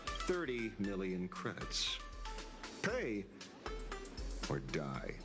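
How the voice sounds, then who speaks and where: An actor playing a cynical, mercenary older detective in a video game, blunt, world-weary and sardonic. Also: male voice, low-pitched, wildly swooping pitch, extremely slow, exaggerated voice. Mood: curt, menacing. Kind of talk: video game character acting. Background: clear background music.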